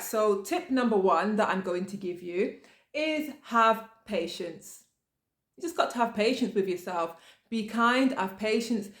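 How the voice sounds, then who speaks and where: Chatty woman from the UK, echoey room. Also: mid-range voice. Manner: chatty, many fillers and restarts. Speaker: vlogger. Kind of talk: casual talking-to-camera advice.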